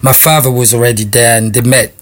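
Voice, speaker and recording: A man in his forties talks as a radio studio guest. His voice sits at an ordinary middle pitch. He speaks haltingly, pausing often and filling the gaps. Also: level tone. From France and Republic of Congo. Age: 40s. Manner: hesitant, many pauses and fillers. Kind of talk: casual interview talk.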